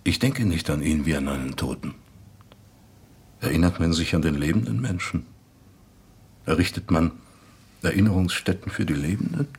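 An actor in a radio drama playing an evasive, philosophical interviewee who speaks cryptically and measuredly in a husky, gravelly voice. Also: male voice, low-pitched, heavily acted. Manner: cryptic, measured, answers with questions. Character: evasive, philosophical man interviewed about someone's death.

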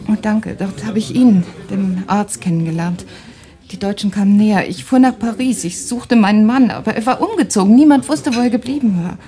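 An actress playing an anguished young refugee wife in a radio play, speaking haltingly and intensely, at times breathy. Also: female voice, medium pitch, warm tone, close to an everyday voice. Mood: haunted recollection. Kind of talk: dramatic radio play acting.

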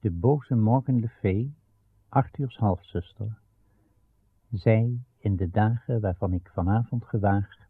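Man, solemn radio-play narrator, low husky voice, archaic storytelling, muffled narrow-band recording. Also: takes it slowly.

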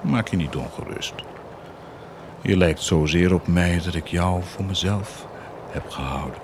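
A man's voice: deep voice, unhurried, background noise. An actor playing a cynical, blasphemous army commander who is proud of his wickedness, in a theatrical, declamatory radio drama style with defiant outbursts. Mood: brooding, scornful. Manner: declamatory, defiant, swinging from muted menace to outbursts.

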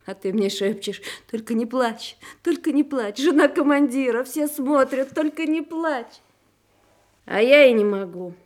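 A woman's voice: sits high, animated, a little slow, darkish tone. An actress playing an emotional, nostalgic middle-aged officer's wife and mother in a Soviet radio drama. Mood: wistful, emotional. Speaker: actor.

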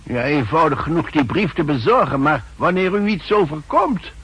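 An obliging, talkative man in an old radio spy play. His husky voice swings in pitch as he questions eagerly, recorded dry and close. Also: big pitch swings, normal speed, fairly bright tone, dry recording, full character voice. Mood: obliging, inquisitive. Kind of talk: 1960s Dutch radio drama acting.

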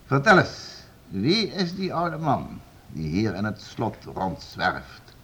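A man's voice: medium pitch, wildly swooping pitch, takes it slowly, fairly bright tone, breathy edge, full character voice. An actor playing a stern, domineering nobleman in a period radio play, curt, impatient and commanding. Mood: brusque. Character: stern, domineering nobleman interrogating servants for the crown. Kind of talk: period radio play acting in formal Dutch.

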